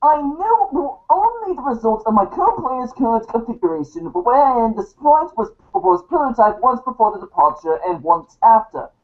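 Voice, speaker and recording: Woman as a high-pitched, wordy know-it-all guide. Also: big pitch swings. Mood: dry, self-satisfied. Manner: wordy, formal, arch, halting in places. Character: verbose, dryly self-important advice writer reading a guide. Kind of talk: theatrical scripted monologue for storybook show. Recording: dull, lo-fi recording.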